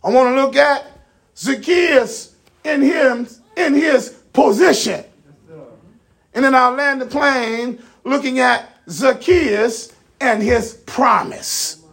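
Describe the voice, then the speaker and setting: A man preaching an animated sermon, speaking emphatically with rhetorical repetition and direct appeals to his listeners. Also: extremely high pitch, slow pace, gravelly.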